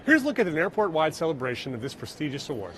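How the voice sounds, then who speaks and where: A man reads a scripted news voice-over at a fast, brisk pace. Faint outdoor and traffic noise sits behind his voice. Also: on the high side, very animated, fairly bright tone. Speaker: news reporter.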